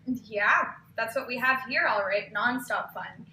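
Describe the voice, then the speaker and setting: A woman's voice: a little high, measured pace, pushed and bright. A woman playing a wry, sardonic TV producer at a live table read. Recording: echoey live room, distant mic, faint noise.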